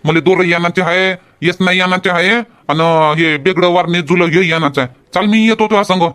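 High-voiced folksy village man , acted by a man. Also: fairly quick, fairly bright tone, clear, resonant tone. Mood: chatty. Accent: Varhadi Marathi. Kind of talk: homemade comedy cartoon dialogue.

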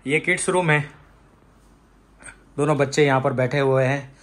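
A man's voice: medium pitch, steady tone, normal speed, a bit bright. Chatty man giving a home tour. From India, raised in Rajasthan. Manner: chatty, guiding viewers room by room. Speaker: vlogger. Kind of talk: casual house tour vlog. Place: apartment, indoors.

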